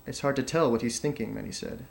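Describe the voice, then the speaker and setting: A man's voice: mid-range voice, quick pace, creaky, natural voice. A man reading a passage of literary narration aloud as an audition piece. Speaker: actor auditioning.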